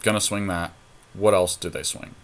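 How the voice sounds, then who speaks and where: A man's voice: low-pitched, steady tone, normal speed. A man chatting casually as he thinks aloud through a card game, analytical and loose with his language. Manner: analytical, talks through each play, casual swearing. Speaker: podcast co-host playing a card game.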